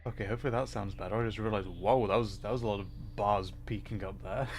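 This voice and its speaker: A man's voice: fairly low pitch, fairly even intonation, quick pace, soft background music. A man hosting a casual gaming livestream, chatting informally and apologetically with viewers. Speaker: livestream host, VTuber. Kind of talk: casual gaming stream chat, greeting viewers.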